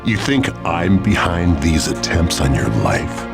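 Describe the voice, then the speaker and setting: A commanding crime lord in a game cutscene. His deep voice is formal and measured, coldly calculating, with loud music behind it. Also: sing-song, creaky, exaggerated voice. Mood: cold, controlled. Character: imposing crime boss, commanding calculating adult man. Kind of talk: video game cutscene acting.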